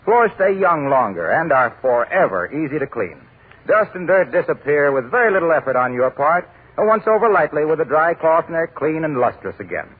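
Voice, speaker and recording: A male radio announcer with a slightly husky voice, reading a commercial and introducing the show in a smooth, polished 1940s style. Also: fairly high pitch. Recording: tinny 1940s radio broadcast.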